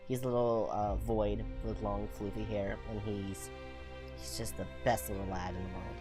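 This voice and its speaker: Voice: low-pitched, flat delivery, moderate pace. A playful, chatty streamer giving casual game commentary, musing aloud and reading the text out. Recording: game music under the voice. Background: game music.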